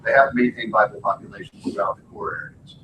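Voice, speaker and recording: A man's voice: fairly low pitch, fast, background noise. A man making an insistent, legalistic comment at a public meeting. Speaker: meeting participant. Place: large conference room. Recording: echoey, distant, muffled room sound.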